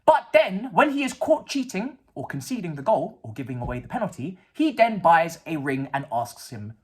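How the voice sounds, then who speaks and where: A man's voice: fairly high pitch, very animated, moderate pace, slightly rough. A man, ranting about a football match in a casual, sarcastic and slangy way. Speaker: football fan vlogger. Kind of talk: casual match reaction rant.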